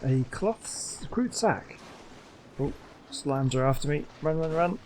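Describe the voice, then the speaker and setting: A man's voice: a little high, animated, a little slow, a little mellow, a little hiss or hum. A man giving casual gameplay commentary, thinking aloud and muttering to himself as he plays. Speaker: gaming host. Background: video game sound.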